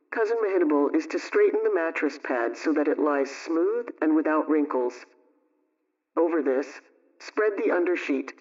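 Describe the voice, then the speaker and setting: Higher-voiced man on dull old radio. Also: a little mellow, recorded from a distance. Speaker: household advice program host. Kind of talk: scripted old-time radio homemaking chat.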